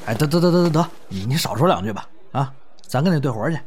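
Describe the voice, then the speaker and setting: A man's voice: medium pitch, lively intonation, quick pace, slight background noise, close to an everyday voice. A man with a northern Mainland Chinese accent, acting a genial, streetwise young comic performer in an audio drama. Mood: eager, placating. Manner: colloquial, placating. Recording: music behind the voice.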